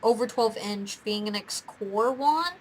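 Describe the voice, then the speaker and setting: A woman's voice: on the high side, expressive, slow pace, a little hiss or hum. A young woman hosting a casual chat, talking in a giggly, rambling way. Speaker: host of a fan gaming and quiz show.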